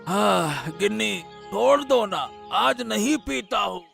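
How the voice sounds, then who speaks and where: A man voicing an ailing older husband in a cartoon story, whiny, groaning and complaining in a creaky voice. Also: high-pitched, animated, a bit bright, soft background music, full character voice. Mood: reluctant, pleading. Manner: slow, groaning, protesting.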